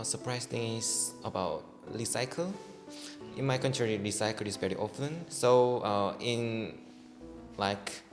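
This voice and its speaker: A man's voice: lowish voice, long reverb tail. A man in his twenties from South Korea, an exchange student answering interview questions hesitantly, searching for words. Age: 20s.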